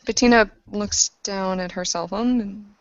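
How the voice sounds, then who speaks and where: A woman's voice: medium pitch, steady tone, moderate pace, no echo, dull audio. A woman roleplaying in a tabletop game, talking casually and bluntly with plenty of swearing. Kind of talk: in-character roleplay chat.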